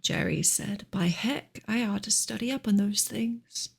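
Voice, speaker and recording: A woman reads narrative prose in a hushed ASMR style. Her whisper is extremely breathy and airy, with a strong crackly, fried grain. The delivery is gentle, measured and unhurried. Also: mid-range voice. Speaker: ASMR reader of a classic novel. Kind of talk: whispered ASMR audiobook reading.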